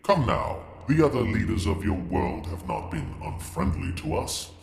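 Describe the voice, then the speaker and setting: A menacing alien slug leader rules this audio drama with smug courtesy. The voice is heavily creaky and gravelly, swings widely in pitch and rings with echo. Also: male voice, wildly swooping pitch, moderate pace, background noise, heavily acted. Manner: smug, commanding. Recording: echoey, reverberant voice.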